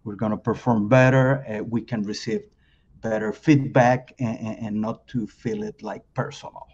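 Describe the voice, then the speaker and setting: Man, mexican accent, native spanish speaker, fifties, halting speech full of fillers, slightly dull lo-fi sound. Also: fairly low pitch, steady tone, darkish tone, slightly rough. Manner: halting, many fillers and repeated words. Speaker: guest sales trainer. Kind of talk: podcast interview.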